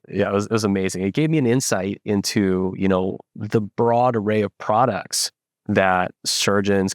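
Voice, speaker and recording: Low-voiced founder man from the Philippines. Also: no room sound, studio quality. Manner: reflective, many fillers and restarts. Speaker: startup founder. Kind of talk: casual interview.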